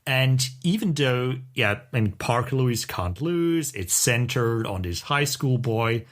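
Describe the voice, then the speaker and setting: A middle-aged man co-hosting a podcast, chatting casually and enthusiastically with a halting delivery. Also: mid-range voice, expressive. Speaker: podcast co-host. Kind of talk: casual chat about TV shows.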